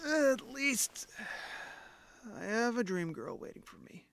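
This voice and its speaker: A man's voice: very high-pitched, sing-song, slow pace, creaky, a bit bright, exaggerated voice. An actor playing an ordinary, lonely young man in an audio drama, earnest and a little hesitant. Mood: gloomy, sardonic. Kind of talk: audio drama dialogue and first-person narration.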